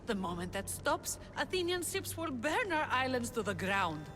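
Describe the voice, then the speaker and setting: A fierce rebel leader, sharp-tongued and commanding. Her voice sits fairly high and moves with lively swings of pitch, over loud game music. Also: normal speed. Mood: urgent. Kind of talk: video game cutscene acting.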